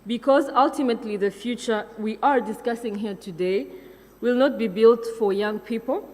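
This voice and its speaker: A woman's voice: mid-range voice, a little mellow, in a large hall. A young woman from Rwanda gives a prepared conference speech, reading with fillers and self-corrections. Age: young adult. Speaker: conference speaker.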